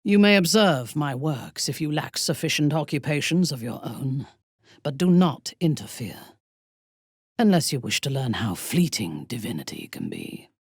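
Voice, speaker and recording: A woman playing a proud, ruthless, seductive aristocratic villain in a video game, speaking in an imperious, deliberate, softly menacing way with a husky, breathy voice. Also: rumbling low, sing-song, no echo, studio quality, full character voice. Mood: cold, imperious. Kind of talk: dramatic video game voice acting.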